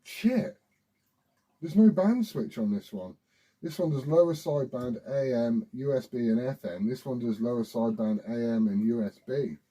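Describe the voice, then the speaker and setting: A British man with a husky voice rambling informally and swearing as he hosts a casual hobby vlog. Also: medium pitch, moderate pace, mellow. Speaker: CB radio hobbyist video host. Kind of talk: casual rambling chat to camera.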